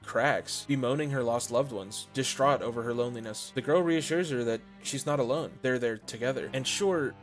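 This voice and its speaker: A man's voice: mid-range voice, moderate pace, few pauses. A man narrating a scripted story recap as a video essayist, articulate, with dramatic storytelling phrasing. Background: soft music.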